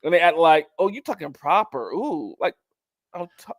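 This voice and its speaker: Co-host, male, higher-pitched, lively and emphatic, dry close mic. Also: no room sound. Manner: animated, emphatic, quick to laugh. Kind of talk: casual chat.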